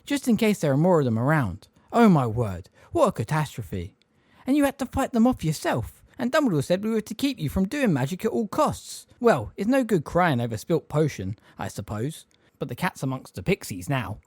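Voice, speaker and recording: A man voicing an eccentric, fussy older woman who talks briskly and scolds impatiently. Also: a little high, wildly swooping pitch, no echo, clean studio sound, voice pushed up, heavily acted.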